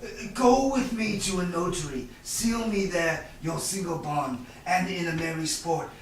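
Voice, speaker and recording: Man acting a high-voiced bitter moneylender, echoey room. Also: measured pace. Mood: slyly friendly. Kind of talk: staged reading of Shakespeare. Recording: distant, echoey room with background noise.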